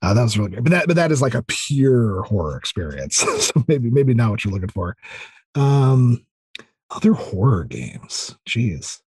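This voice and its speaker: A man's voice: medium pitch, big pitch swings, normal speed, darkish tone, slightly rough, dry recording. A man in a casual interview chat as a podcast guest, thinking aloud hesitantly but with enthusiasm. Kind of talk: casual interview chat about video games.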